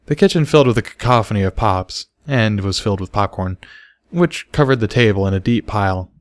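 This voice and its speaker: A man's voice: on the low side, animated, fairly quick, no room sound. A man reading scripted story narration as an audiobook reader.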